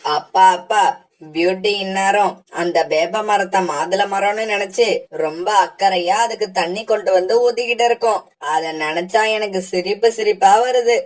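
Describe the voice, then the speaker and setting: A mischievous young crow boy from a children's cartoon, acted by a woman. The voice sits at a middling female pitch, with childish eagerness, and sounds a little away from the mic. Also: normal speed, far from the mic, lightly acted, poor audio. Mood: gleeful, mocking.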